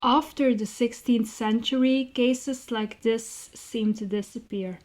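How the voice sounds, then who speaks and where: A woman with a soft, mellow and fairly high voice speaks as an interviewed writer. She is reflective and articulate, choosing measured, slightly formal phrasing. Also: fairly even intonation. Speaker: interviewed writer and zine co-founder.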